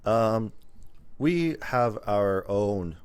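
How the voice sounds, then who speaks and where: A man's voice: on the low side, lively intonation, drawn-out words, a little mellow, clean tone. A man hosting a podcast in a casual, rambling chat, listing things off as he goes. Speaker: podcast host.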